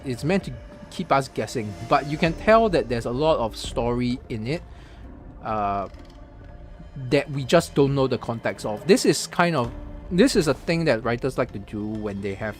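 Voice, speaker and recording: A man's voice: medium pitch, expressive, normal speed, faint music behind. Rambling male streamer from Singapore. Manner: rambling, thinks aloud with many fillers and restarts. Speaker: streamer reacting to a game story. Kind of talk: casual live-stream commentary.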